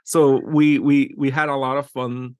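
Guatemalan-born guy in his middle years, excited art director rambling along, dry close sound, lots of "right?". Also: medium pitch, a little slow, no echo. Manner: enthusiastic, rambling with frequent restarts and "right?" tags. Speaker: art director, interview guest. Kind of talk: casual interview conversation.

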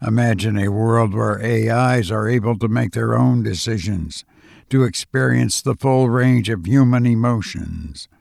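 A man's voice: mid-range voice, a little slow, creaky, darkish tone, no room sound, studio quality. A man voicing an intense, alarmist male witness in an audiobook, warning of catastrophe in grave rhetoric.

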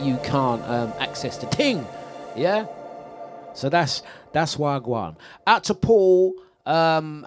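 A man hosting a live radio show in a studio, talking in a chatty, laid-back, slangy way. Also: mid-range voice. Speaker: radio DJ host. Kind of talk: casual live radio chat. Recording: music playing under the voice.

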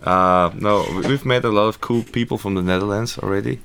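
A middle-aged man from Austria, a musician guest talking in a relaxed, friendly way in a radio studio. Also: lowish voice, brisk, a little hiss or hum. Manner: relaxed, laughs easily, friendly. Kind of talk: casual interview.

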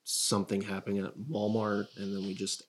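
A man's voice: fairly low pitch, flat delivery, brisk, slight background noise. A man chatting casually as a guest in a comedy interview, rambling and joking as he corrects himself. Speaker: comedy podcast guest, sketch writer.

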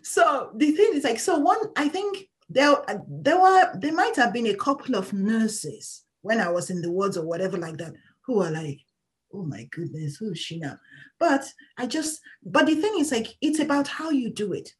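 Outspoken woman with a lively voice. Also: a little high, normal speed. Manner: emphatic, outspoken, laughs often. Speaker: interview guest, patient advocate. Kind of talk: candid conversational interview.

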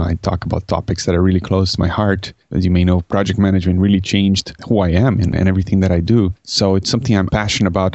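A man's voice: sits low, darkish tone, no room sound, highs cut off. A Brazilian-born man, a non-native English speaker, chatting as a podcast guest in an upbeat, self-deprecating way. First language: Portuguese. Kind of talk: casual interview talk.

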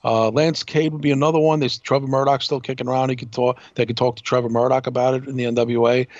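A man's voice: mid-range voice, flat delivery, fast, darkish tone, dry recording, muffled recording. A man co-hosting a podcast in casual talk, speaking emphatically and repeating himself for effect. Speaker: podcast co-host.

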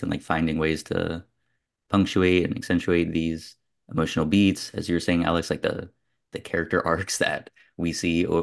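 A man's voice: low-pitched, creaky. A man hosting a podcast, talking through films in a casual, reflective and discursive way among friends. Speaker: podcast host.

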